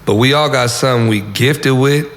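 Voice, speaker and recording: A man's voice: mid-range voice, normal speed. A young man from Southern California, talking in a casual, slangy way as a musician being interviewed. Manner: slangy, informal. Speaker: musician guest. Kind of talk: casual interview, giving advice.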